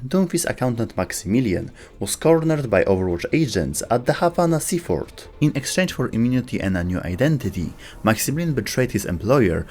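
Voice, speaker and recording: A man's voice: fairly low pitch, darkish tone. A man giving scripted, documentary-style narration for a game lore video.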